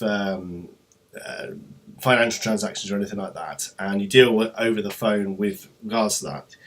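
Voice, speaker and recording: A man presenting an informal, unscripted company explainer vlog, talking in a hesitant, rambling way with trailing asides. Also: on the low side.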